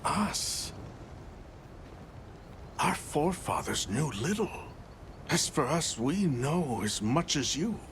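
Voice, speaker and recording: Slow solemn guy humble lore keeper, game cutscene, voice moves up and down. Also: fairly high pitch, sing-song, fairly bright tone, a little hiss or hum, exaggerated voice. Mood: resigned. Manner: measured, solemn. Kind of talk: video game cutscene acting.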